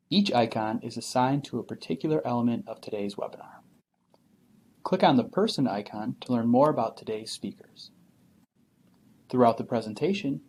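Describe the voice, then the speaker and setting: A man reads scripted instructions in a smooth, even announcer style. There is a faint hiss behind him. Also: medium pitch. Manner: even, polished announcer reading. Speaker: instructional video narrator. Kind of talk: scripted narration.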